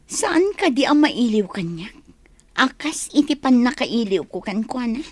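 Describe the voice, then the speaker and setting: A woman's voice: fairly high pitch, sing-song, measured pace, no echo. A woman playing an unhappy, resigned young bride in a radio drama, reluctant and plaintive. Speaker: actor in audio drama. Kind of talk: Ilocano radio comedy-drama acting.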